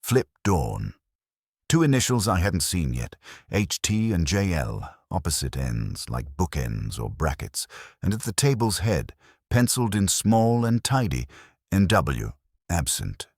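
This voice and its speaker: A man's voice: sits low, very animated, unhurried, gravelly, a little mellow, breathy edge, no room sound, studio quality. A man giving a scripted first-person narration of a detective story. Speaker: audiobook narrator.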